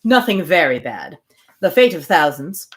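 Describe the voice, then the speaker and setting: A woman reading the lines of a dry, teasing gentleman in his thirties in her own plain voice. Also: on the low side, sing-song, measured pace, pitched below the performer's own voice.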